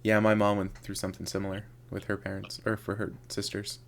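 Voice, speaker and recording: A man's voice: on the low side, monotone, fairly quick, vocal fry. A man hosting a podcast, asking casual, loosely phrased questions with many fillers. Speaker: podcast host.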